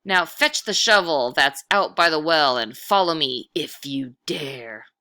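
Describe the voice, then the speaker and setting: An eerie, menacing ghost man from a children's storybook, voiced by a woman reader. He calls out loudly in drawn-out wails and stern commands, with a creaky edge to the voice. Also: low voice, animated, full character voice. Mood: commanding. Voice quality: thin, sad wail or commanding roar. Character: wailing, menacing male ghost assembling his own body.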